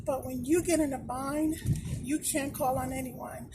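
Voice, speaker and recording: A woman with a hoarse voice she says she has partly lost, giving heartfelt motivational tips in a slow, encouraging way to a phone camera. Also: sits high, steady tone, heavy background noise. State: hoarse, losing her voice. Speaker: motivational vlogger. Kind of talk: encouraging casual vlog talk.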